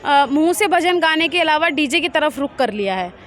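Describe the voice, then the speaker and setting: High-pitched woman street reporter. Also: lively intonation, moderate pace, a bit bright. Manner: conversational, prompting with questions. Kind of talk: vox pop interviewing. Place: outdoors on an urban street.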